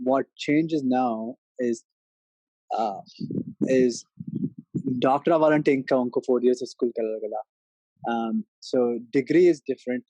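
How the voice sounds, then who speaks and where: A man's voice: medium pitch, warm tone, dry recording, rough recording. A young man in his twenties, a musician guest, talking things through in a casual, thoughtful way, switching between Telugu and English. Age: 20s. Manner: mixes Telugu and English mid-sentence; thoughtful, with many ums and restarts. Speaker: interview guest, musician and recent graduate. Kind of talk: casual reflective conversation.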